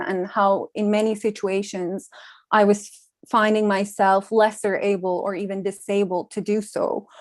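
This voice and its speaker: A young woman from Iran, a Farsi speaker, giving a measured, reflective conference talk with frequent ums. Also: medium pitch, no echo, clean studio sound. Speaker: panel speaker, design researcher.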